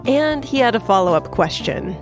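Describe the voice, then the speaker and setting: Woman hosting, lively pitch, music underneath. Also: moderate pace. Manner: clear simple explaining, addressing young listeners. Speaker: podcast host. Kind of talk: conversational kids' science podcast explainer.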